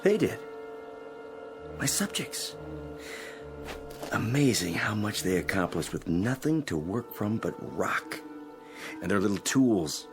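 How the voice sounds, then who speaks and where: Over a clear music bed, a smug male space crewman who fancies himself a god. His delivery swings widely in pitch, theatrical and mocking, full of cynical self-importance in radio drama style. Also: sing-song, slow, long pauses. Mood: smug, gloating. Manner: gloating, grandiose, mockingly theatrical.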